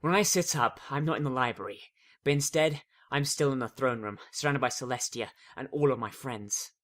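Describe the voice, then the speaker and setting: A theatrical, expressive reader narrating a fan fiction story in a dramatic audiobook style. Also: medium pitch, a little fast.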